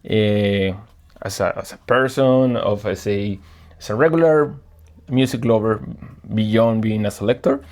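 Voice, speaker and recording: A man from Colombia, a guest record selector chatting casually and earnestly about records, often pausing to search for words. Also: lowish voice, darkish tone. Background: faint music playing.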